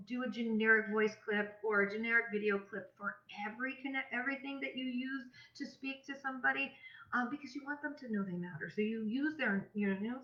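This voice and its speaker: A woman giving a casual, chatty instructional talk as a business coach, in a conversational manner. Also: on the high side. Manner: chatty, conversational, acts out example messages. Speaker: business coach presenting a tutorial. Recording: roomy echo, slightly dull audio.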